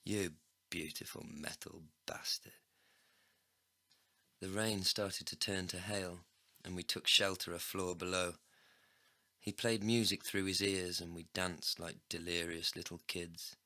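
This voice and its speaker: A man narrating a scripted first-person comedy story in an expressive, sweary storytelling manner. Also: sits low, unhurried, no echo, clean studio sound. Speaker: narrator and lead character.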